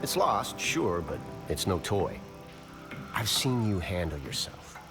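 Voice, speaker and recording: A roguish older American charmer with a swinging, animated delivery and a folksy, teasing way of talking. He is an unflappable video game adventurer, heard over prominent music. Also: low voice, slow pace, heavily acted. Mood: persuasive, wry. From United States. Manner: folksy, teasing, drawling wisecracks. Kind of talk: video game cutscene acting.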